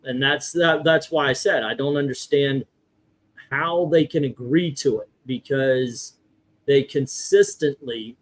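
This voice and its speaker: A man hosting a casual livestream, talking haltingly and often interrupting himself. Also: medium pitch. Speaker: livestream host.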